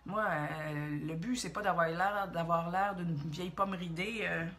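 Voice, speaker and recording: Bright-voiced Québécois woman in her forties. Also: fairly low pitch, steady tone, measured pace. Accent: Quebec French. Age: 40s. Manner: chatty, rambling, thinks aloud. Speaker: makeup tutorial host. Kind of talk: casual makeup tutorial.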